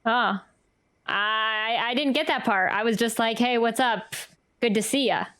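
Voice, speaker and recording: A woman's voice: a little high, measured pace, a bit bright, no room sound. A woman streaming a game, reacting in casual, chatty, exclamatory commentary.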